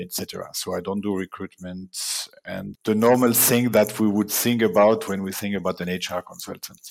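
A man in his fifties from Switzerland speaks as a podcast guest, with a fairly low voice. He talks in a measured way, with fillers and small restarts. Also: gravelly, low-quality recording. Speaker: guest, people management consultant. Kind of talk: podcast interview.

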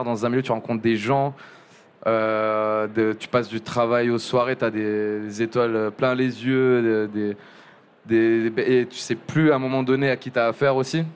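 Young guy chatting in an interview, lots of restarts and ums, smooth clear voice. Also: mid-range voice. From Montpellier area. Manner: halting, many restarts and fillers. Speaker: journalist guest. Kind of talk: casual interview talk.

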